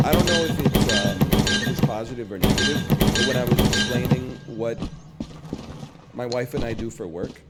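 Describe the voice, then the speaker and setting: A hoarse man in his thirties chatting casually and joking while streaming a game. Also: medium pitch, animated, normal speed, over loud music. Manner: rambling, joking asides. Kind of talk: casual chat while gaming.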